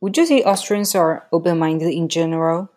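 Curious woman with a lowish voice. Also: normal speed, darkish tone. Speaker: interviewer. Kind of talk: casual conversation.

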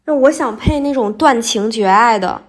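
A woman's voice: fairly high pitch, animated, moderate pace. A woman guest on a podcast, a voice actor, chatting casually in a candid, self-effacing way. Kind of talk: casual interview chat.

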